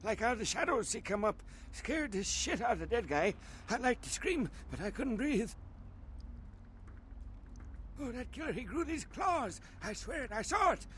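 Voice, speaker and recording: A very high-voiced, frightened street guy from a video game. He talks in rough, uneducated street speech, badly rattled by the killing he saw. There is faint noise behind him. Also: sing-song, moderate pace, fairly bright tone, heavily acted, rough recording. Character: shaken street witness recounting a gruesome killing.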